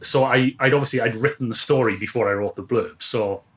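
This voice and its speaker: A man speaking as a guest author in a casual interview, explaining things in a thoughtful, halting way. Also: mid-range voice.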